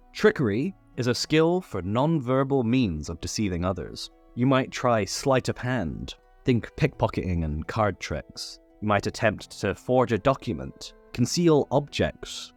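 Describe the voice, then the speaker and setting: Male tutorial host: scripted, instructional read with playful asides, animated intonation, light music bed. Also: mid-range voice. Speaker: host of a tabletop game tutorial. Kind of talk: scripted explainer narration.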